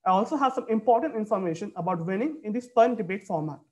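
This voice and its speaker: A man's voice: high voice, animated, fast, a little mellow. A young man moderating a debate on a video call, reading formal announcements from a script. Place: indoor room, video call.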